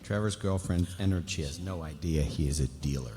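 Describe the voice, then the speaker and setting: A man's voice: rumbling low, a little fast, mellow. A man reading stage directions as scripted narration at a live table read, with casual ad-libs. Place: large hall, live festival stage. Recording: reverberant hall, background noise.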